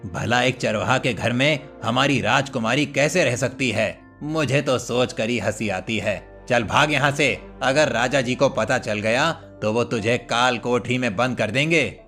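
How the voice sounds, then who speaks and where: A man's voice: mid-range voice, measured pace, hardly any pauses, fairly bright tone, soft background music. A man voicing a bossy, scornful palace guard in a cartoon story, haughty and dismissive.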